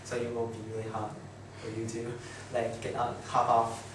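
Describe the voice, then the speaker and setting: A young Taiwanese man gives an informal talk in halting, chatty English with a Taiwanese accent, often doubling back on his phrases. His voice sits in a middle register. It is picked up from a distance in a very echoey room with clear background noise. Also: medium pitch, monotone. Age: young adult. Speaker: student speaker. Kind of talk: informal presentation to students.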